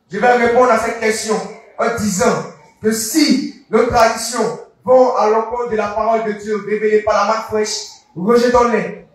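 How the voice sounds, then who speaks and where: Male preacher, high voice, slow declaiming sermon, distant mic in a booming hall. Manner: slow, declaiming, repeating the reading line by line. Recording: echoey hall, voice from far off.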